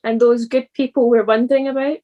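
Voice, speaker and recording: A woman with a fairly high voice reads a scripted devotional. Her delivery is earnest and deliberate, as a church reader would give it. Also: flat delivery, muffled recording.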